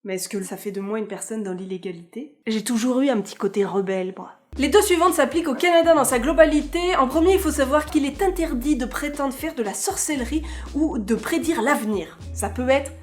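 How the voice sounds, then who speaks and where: A woman's voice: high-pitched, a little fast, hardly any pauses, faint music behind. A young woman hosting a casual vlog, playful and chatty, with joking asides. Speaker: vlogger host.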